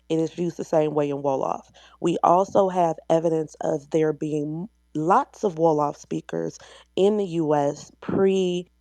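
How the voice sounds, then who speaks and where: American woman guest on an audio chat, creaky voice, dry close sound, explains stuff firmly. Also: sits low, dark tone, dry recording. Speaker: guest speaker in an online audio discussion. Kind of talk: explanatory discussion.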